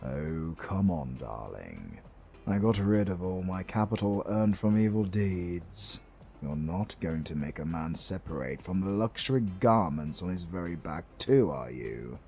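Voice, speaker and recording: Male actor as smug retired supervillain husband: British, low gravelly voice, swooping theatrical delivery, doting and flirty, romantic comedy audio with music behind. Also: lively intonation, unhurried, a little mellow, exaggerated voice. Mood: teasing, flirty. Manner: theatrical, teasing, cooing endearments.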